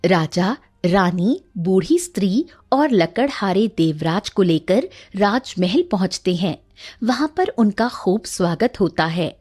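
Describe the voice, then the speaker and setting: A woman's voice: medium pitch, animated, slow, darkish tone, breathy edge, faint music behind. A woman narrating a scripted cartoon moral story as its storyteller.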